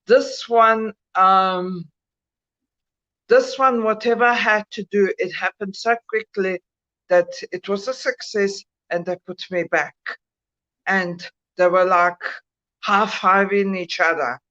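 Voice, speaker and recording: A woman with a mixed South African and Italian accent, a guest on a video call, telling a story slowly with many hesitations. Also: mid-range voice, a bit bright. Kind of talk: casual chat, recounting a dream experience. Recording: muffled bad connection.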